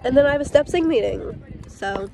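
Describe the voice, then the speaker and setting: A young woman in college chatting casually to her phone camera in a relaxed vlog, upbeat and full of fillers. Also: high-pitched, normal speed, gravelly, noisy background. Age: young adult. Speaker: college student vlogger. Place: dorm room and outdoors. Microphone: phone held at arm's length.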